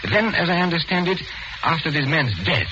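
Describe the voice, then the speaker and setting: An actor playing a suave, theatrical stage magician with wry, morbid humour in 1940s radio mystery style. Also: male voice, on the high side, animated, fairly quick, lightly acted. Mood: grave, measured. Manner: urbane, theatrical, quoting grandly. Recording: muffled, noisy 1940s radio recording.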